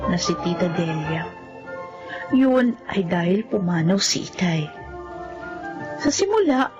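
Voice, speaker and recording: A woman's voice: medium pitch, highs cut off, natural voice. A woman playing a timid, mistreated young woman in a Filipino radio drama, plaintive and pleading.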